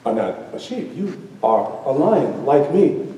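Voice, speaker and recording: A man voicing a big adult lion in a live folk tale, speaking with calm, firm authority. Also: medium pitch, unhurried. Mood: firm, commanding. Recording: echoey hall, distant mic, faint noise.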